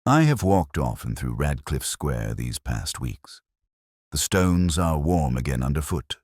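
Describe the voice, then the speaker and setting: Man playing a very deep, brooding detective inspector. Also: big pitch swings, darkish tone, no echo, clean studio sound, heavily acted. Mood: brooding, wistful. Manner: literary, measured, solemn. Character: melancholy, brooding older male English police inspector, reflective. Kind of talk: scripted first-person radio drama monologue.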